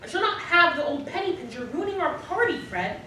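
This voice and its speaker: Casting: actress as huffy, impatient young wife for stage radio drama; animated, high voice, distant mic. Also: female voice, brisk, full character voice. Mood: indignant. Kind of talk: live radio drama acting. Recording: distant, very echoey stage, faint noise.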